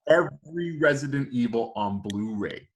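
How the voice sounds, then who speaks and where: A man's voice: medium pitch, expressive, normal speed. A man chatting casually as a podcast panelist, with the earnest, informal emphasis of a fan. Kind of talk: casual chat.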